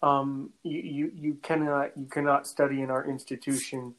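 A man from North America hosting a podcast interview, speaking in a halting way and often repeating words before finishing a thought. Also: medium pitch, flat delivery, fairly bright tone, slight background noise, low-quality recording. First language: English; French is his second language. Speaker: podcast host. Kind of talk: conversational interview.

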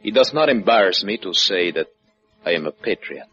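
Deep, courtly Spanish-accented villain. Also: male voice, animated, bright, forceful tone. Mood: quietly menacing. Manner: courtly, unhurried, faintly amused menace. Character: suave, menacing Cuban-based revolutionary gunrunner, falsely courteous. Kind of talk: 1950s radio adventure acting. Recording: dull 1950s radio recording, music behind.

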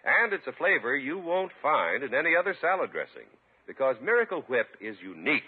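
Through a tinny old radio recording, a male announcer delivers scripted ad copy in 1950s style. His slightly rough voice moves in lively swoops, polished and persuasive. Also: medium pitch, brisk. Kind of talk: 1950s radio commercial reading.

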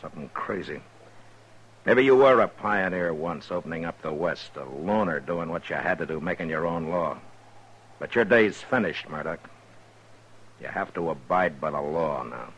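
Stern, husky, low-voiced lawman. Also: lively intonation, normal speed, a bit bright, exaggerated voice, rough recording. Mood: stern warning. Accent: American. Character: stern, steady frontier lawman, gravely warning. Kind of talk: 1950s radio western acting.

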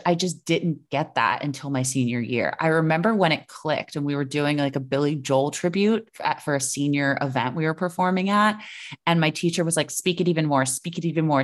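Animated young woman vocal coach. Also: low-pitched. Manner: animated, demonstrates by singing. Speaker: guest, singing coach. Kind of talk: casual podcast chat.

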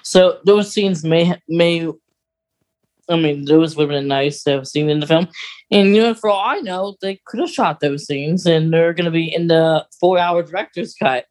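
A podcast co-host in casual film discussion, talking haltingly and thinking out loud. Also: mid-range voice.

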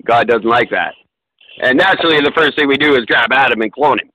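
A man's voice: medium pitch, brisk, pushed and bright, dry recording, muffled recording. A man in casual podcast chat, talking in a rambling, self-deprecating way with many fillers and restarts. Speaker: podcast co-host.

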